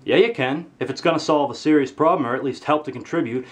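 A teenage boy ranting to his webcam in his bedroom, with comedic, exaggerated anger and lots of swearing. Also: fast talker. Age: teens. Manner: exaggerated angry ranting, swearing, pitch jumping high when outraged. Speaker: vlogger. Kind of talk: comedic rant to camera. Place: bedroom, indoors.

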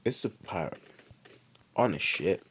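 A young British man with a lively voice commentating his mobile game live, chatty with sudden excited outbursts, saying he is ill. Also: sits low, measured pace, breathy edge. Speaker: streamer.

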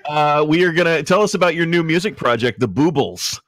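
A man's voice: on the high side, expressive, fairly quick, a bit bright, no echo. A man hosting with casual comedic banter, playing interviewer in hesitant phrasing. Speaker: podcast host.

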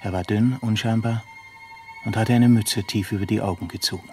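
An actor playing an uneasy driver who recounts an eerie encounter in measured words, in a 1950s radio play monologue. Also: male voice, lowish voice, fairly even intonation, darkish tone, faint music behind, close to an everyday voice. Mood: brooding.

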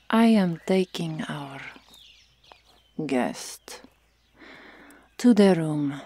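Woman playing a low, breathy Amazon warrior. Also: lively intonation, extremely slow, long pauses, heavily acted. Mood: terse, exasperated. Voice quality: breathy, hushed, often whispering. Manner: curt, formal, restrained. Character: stoic, stern, dutiful Amazon warrior woman. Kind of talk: ASMR roleplay.

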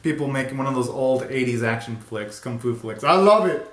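A man in his twenties giving a casual, excitable live reaction commentary full of slangy exclamations, recorded in a small bedroom. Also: medium pitch, a little fast. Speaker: reaction video host. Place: small bedroom at home, indoors. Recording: roomy, echoey home recording.

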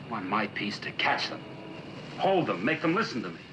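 An American actor playing a blunt, idealistic middle-aged sculptor in a 1960s television drama. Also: male voice, fairly low pitch, big pitch swings, brisk. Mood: earnest, defiant. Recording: noisy old TV film soundtrack.

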